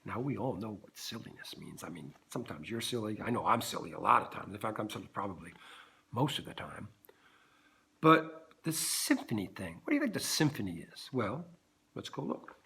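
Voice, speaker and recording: Playful, animated man teaching kids music. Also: brisk, creaky. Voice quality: low bass speaking voice. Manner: animated, silly, keeps checking in with "right?". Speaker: children's music educator.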